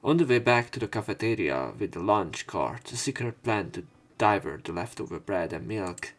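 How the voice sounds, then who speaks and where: A man's voice: on the low side, expressive, moderate pace. A man reading visual novel narration aloud as a let's player, with casual commentary. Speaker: let's play streamer.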